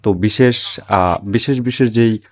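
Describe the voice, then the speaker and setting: A man's voice: lowish voice, unhurried, darkish tone. A man hosting a tech tutorial, explaining a screen recording step by step and often checking that listeners are following.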